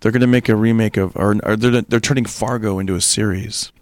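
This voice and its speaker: Low-voiced actor, halting chatter. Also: male voice, fairly even intonation, darkish tone, dry recording, studio quality. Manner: halting, repeats words, casual. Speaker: actor guest. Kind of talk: casual chat.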